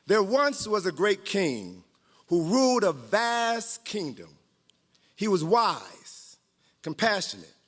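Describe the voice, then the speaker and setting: Animated male storyteller in a reverberant hall. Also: sits high, slow pace. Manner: playful, dramatic, with joking asides. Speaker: guest storyteller, author and historian. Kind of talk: animated read-aloud storytelling to live group. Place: large hall at a training event.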